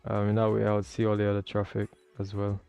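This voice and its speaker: A man's voice: lowish voice, monotone, quick pace, creaky, dark tone, smooth, faint music behind. A man hosting a livestream, talking casually as he comments and explains as a learner. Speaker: livestream host learning air traffic control.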